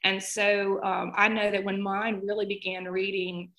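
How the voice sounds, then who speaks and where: A woman's voice: mid-range voice, little pitch movement, measured pace, pushed and bright. Middle-aged woman chatting as interview guest. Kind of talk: casual interview chat.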